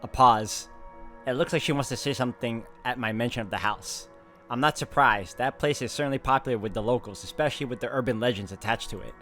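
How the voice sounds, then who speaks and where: A man's voice: mid-range voice, quick pace, sharp tone, soft background music. A man reading a visual novel's narration aloud as a player, adding informal, chatty commentary. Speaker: game walkthrough player reading along.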